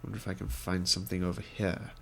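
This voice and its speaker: A young man commentates a game in a wry, put-on gentlemanly manner, his deep, gravelly voice stuffy from a cold. He speaks into a headset, with faint keyboard clicks behind him. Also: level tone, fast talker, a little hiss or hum. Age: young adult. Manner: mock-posh, wry, gentlemanly put-on accent. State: congested from a cold. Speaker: gaming video creator. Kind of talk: let's play commentary.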